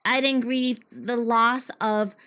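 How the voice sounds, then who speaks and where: A woman's voice: a little high, fairly even intonation, slow, pushed and bright. A woman born in Taiwan, hosting a podcast interview and speaking earnestly, stretching words for emphasis. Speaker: podcast host. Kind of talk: warm interview conversation.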